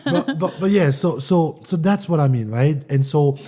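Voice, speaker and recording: A man's voice: on the high side, lively intonation, normal speed, warm tone. A man from Central Africa, raised in France, speaking candidly and reflectively as a guest, often restarting his sentences. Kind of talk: casual interview.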